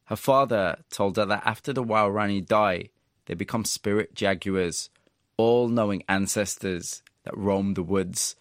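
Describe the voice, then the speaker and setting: A man's voice: on the low side, unhurried, no echo, clean studio sound. A man hosting, moving between scripted narration and interview questions.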